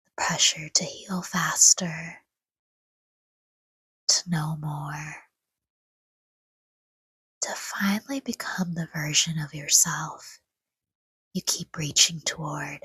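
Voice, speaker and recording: A woman speaks in a very breathy, whispery voice, low in pitch and extremely unhurried. She guides a sleep meditation gently and soothingly, leaving long pauses between short, hushed phrases. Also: steady tone, dry recording, poor audio. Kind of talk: guided sleep meditation, scripted, soft-spoken.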